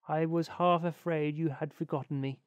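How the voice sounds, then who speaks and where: A trusting, good-natured little fellow from a storybook, played by a man, with a fairly high voice in a dry recording. He sounds humble and earnest, eager to please. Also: steady tone, normal speed, dry recording, dull audio, lightly acted. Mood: grateful. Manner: meek, earnest, grateful.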